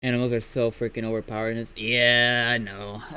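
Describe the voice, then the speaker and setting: A man's voice: mid-range voice, flat delivery, moderate pace, bright, forceful tone, smooth. A man hosting a livestream, chatting casually in a rambling, informal way with some swearing. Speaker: livestream host. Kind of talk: casual gaming stream chat.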